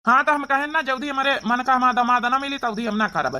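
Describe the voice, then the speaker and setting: A woman's voice: sits high, fast talker, sharp tone. A woman voicing a doting, stubborn village father in an Awadhi-accented cartoon comedy, easygoing but firmly protective of his daughter.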